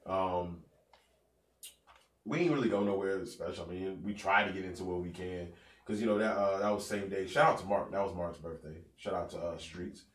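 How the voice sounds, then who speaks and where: A laid-back man with a very low voice rambles through his week in easygoing slang, sounding a bit stuffed up and sniffly. He sits back from the mic in an echoey room. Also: moderate pace, far from the mic. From North New Jersey. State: congested, sniffling from allergies. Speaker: podcast host. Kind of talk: casual podcast chat.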